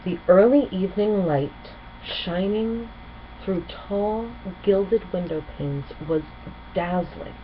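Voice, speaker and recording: A woman's voice: medium pitch, slow. A woman narrating a children's storybook expressively as a storyteller. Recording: muffled home recording with clear noise.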